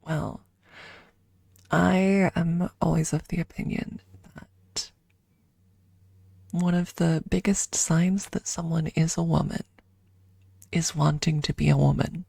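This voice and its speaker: Breathy, slow-talking ASMR woman, close and dry. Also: low-pitched, fairly even intonation, dry recording. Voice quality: breathy, soft. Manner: halting, informal, drifting between whisper and soft talk. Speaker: ASMR streamer. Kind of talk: ASMR casual chat, often whispered. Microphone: close microphone.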